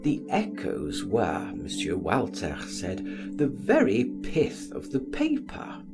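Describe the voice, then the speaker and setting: A man reading an audiobook in an expressive, theatrical and measured literary style. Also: fairly low pitch. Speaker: audiobook reader. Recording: music behind the voice. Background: music.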